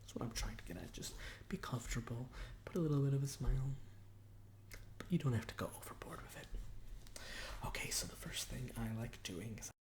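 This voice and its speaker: Male ASMR roleplayer, whispered delivery, chatty portrait-artist patter in short, halting phrases. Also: medium pitch, darkish tone. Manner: chatty, hesitant, pausing between short phrases.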